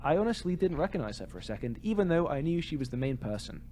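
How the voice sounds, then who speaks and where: A man's voice: fairly high pitch, sing-song, quick pace, mellow, slight background noise. A man co-hosting a podcast in casual chat, with a jokey, wry way of talking. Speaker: podcast co-host.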